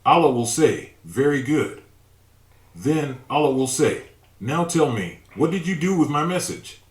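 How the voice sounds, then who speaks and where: A man giving a calm, measured scripted religious narration, reading out an imagined dialogue. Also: fairly high pitch, echoey room.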